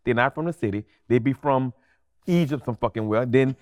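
Podcast guest: man, mid-pitched, rapid delivery, New Orleans accent, emphatic and slangy. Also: darkish tone. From New Orleans, USA. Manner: blunt, slangy, emphatic with repeated phrases. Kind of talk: casual, animated interview chat.

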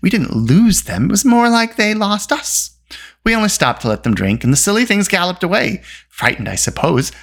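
A storybook reader voicing a spirited young princess who is brisk, indignant and talkative. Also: medium pitch, sing-song, voice pushed up. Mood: defiant, chattering.

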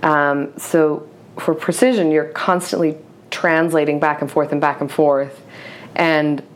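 A woman's voice: low voice, steady tone, a little slow. A woman novelist, not a native English speaker, answering interview questions hesitantly, with many restarts, fillers and a reflective search for words. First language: Serbo-Croatian. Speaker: novelist guest. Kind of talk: interview conversation.

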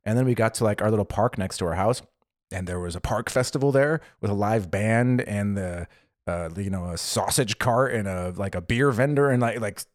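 A young man with a slightly low voice co-hosts a relaxed chat podcast. He tells stories with energy and slips into quick impressions of what other people said. The sound is dry and close to the mic. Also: no room sound. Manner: animated storyteller, acts out quotes. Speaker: podcast co-host. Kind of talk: casual chat. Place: living in Germany.

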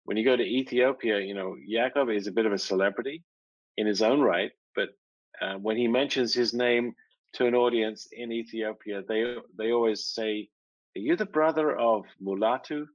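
A man with a lowish voice hosts an interview podcast and wanders a little, restarting his sentences as he frames his questions. The sound is dry and a bit dull, as if recorded over a call. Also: normal speed, dry recording. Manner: rambling, hesitant, friendly. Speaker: podcast host. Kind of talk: conversational interview.

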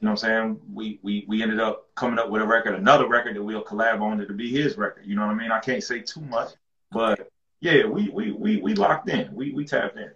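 Deep-voiced man, laid-back. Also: brisk, a bit bright. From rural North Carolina. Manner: laid-back, slangy, repeats words as he restarts. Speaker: guest rapper. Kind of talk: casual interview chat. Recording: echoey room, dull lo-fi sound.

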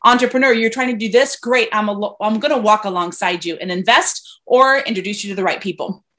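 A woman from Venezuela speaks as an investor guest in a casual interview over a video call. She talks in a loose, chatty way, often restarting her sentences and filling pauses with "um". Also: mid-range voice, fairly bright tone. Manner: chatty, many fillers and restarts.

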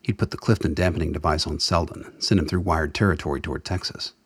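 Very deep, husky male narrator. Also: fairly even intonation, fast, dry recording, clean studio sound. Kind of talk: dramatic scripted narration of a radio play.

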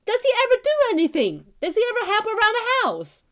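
A woman's voice: extremely high pitch, huge pitch swings, fast talker, fairly bright tone, exaggerated voice. A woman playing a fed-up, furious girlfriend in a comic radio play, scolding with indignant emphasis. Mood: scolding, exasperated.

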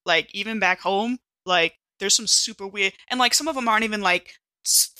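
A woman voicing an excitable, slangy, laid-back science crew member in a tabletop game, rambling casually with lots of filler slang. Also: mid-range voice, normal speed, no room sound, natural voice. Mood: excited rambling. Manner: slangy, rambling, heavy use of "like".

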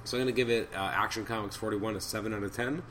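A man hosting a podcast of off-the-cuff reviews, his voice a little hoarse and raspy from a cold, thinking aloud in a halting way. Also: lowish voice, fairly even intonation, a bit bright, slight background noise. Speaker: podcast host. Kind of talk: unscripted comic book review commentary.

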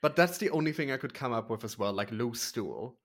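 A man co-hosts a casual podcast in an animated voice that rises and falls a lot. He gives emphatic, conversational commentary at a medium pitch. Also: fairly quick. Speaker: podcast co-host. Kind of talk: casual recap chat about a drag competition show.

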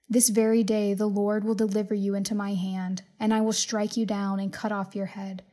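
Woman, scripture reader, mellow tone, flat intonation, measured and solemn, scripted narration. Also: mid-range voice. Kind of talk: scripted Bible reading, narration.

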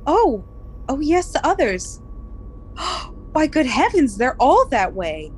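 A flustered space colonist in an old radio drama. Her voice is very high and swooping, drawn out and halting, with breathless embarrassment. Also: big pitch swings, darkish tone, a little hiss or hum, heavily acted. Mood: alarmed. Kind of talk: classic American radio play acting.

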